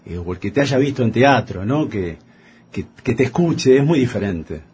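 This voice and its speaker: A man with a Rioplatense Argentine accent talking casually on the radio in halting phrases that he often restarts. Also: mid-range voice, expressive, a little mellow, slight background noise. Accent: Rioplatense Argentine Spanish. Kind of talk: casual radio studio conversation.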